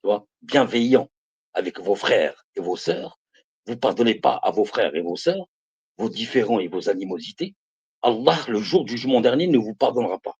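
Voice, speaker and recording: A man's voice: on the low side, expressive, normal speed, darkish tone, husky, muffled recording. A man in his fifties giving religious advice on a live stream, emphatic and repetitive, speaking to his listeners as brothers and sisters. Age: 50s. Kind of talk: preaching to viewers on a live stream.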